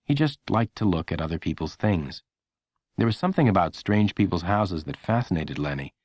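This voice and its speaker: A man narrating a children's audiobook in an expressive, measured storytelling manner. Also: low voice, no echo.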